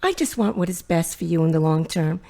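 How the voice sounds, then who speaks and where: Woman acting a fussing mother, swooping, creaky voice. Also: fairly low pitch, lively intonation, brisk, a little mellow. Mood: pushy, motherly. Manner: fussing, maternal, probing. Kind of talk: audio drama acting.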